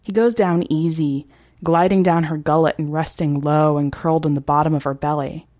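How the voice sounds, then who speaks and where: A woman's voice: low voice, steady tone, moderate pace, mellow, dry recording, clean studio sound. A woman reading scripted fiction as a narrator for a short story podcast. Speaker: podcast editor and story reader.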